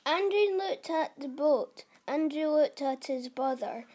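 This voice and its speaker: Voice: high voice, a little slow, a little mellow, a little hiss or hum. A narrator reading a scripted story for a school puppet show.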